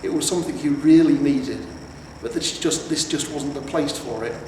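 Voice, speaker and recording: A man narrates a story in a measured, pausing delivery. His voice is on the higher side, heard from a distance in a very reverberant room with faint noise. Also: a little mellow. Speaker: amateur audiobook reader. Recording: roomy, echoing, distant mic with noise.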